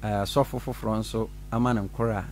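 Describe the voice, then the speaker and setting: A middle-aged man, a pastor from Ghana, telling his side in an animated radio studio interview. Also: fairly low pitch, normal speed, slight background noise. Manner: animated, gestures while talking, laughs often. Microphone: studio mic on boom arm.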